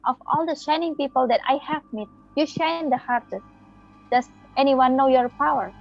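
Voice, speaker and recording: A woman's voice: sits high, moderate pace, vocal fry. A woman playing a friendly, mysterious head chef in a readers theater drama, speaking like a mentor. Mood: mysterious, earnest. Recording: muffled audio with background music.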